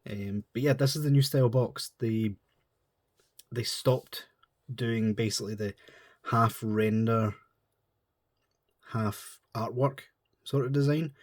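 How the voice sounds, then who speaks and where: A man's voice: mid-range voice, slow, long pauses, no room sound, studio quality. A man with a stuffy nose from a cold, chatting in a rambling, informal way as he reviews a model kit. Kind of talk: casual chat.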